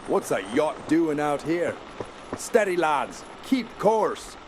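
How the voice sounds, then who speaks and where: An actor playing a bold, commanding ship's captain in a radio drama, hearty and used to giving orders. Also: male voice, a little high, sing-song, takes it slowly, noisy background. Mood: wry, steady. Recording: loud storm and ship effects.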